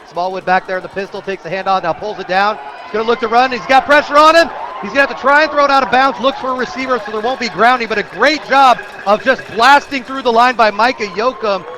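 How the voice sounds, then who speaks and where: An American man with a high voice works as a football play-by-play announcer on radio. He calls the game live from a stadium booth. The crowd is clearly audible behind him. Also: fast. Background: crowd at an outdoor stadium.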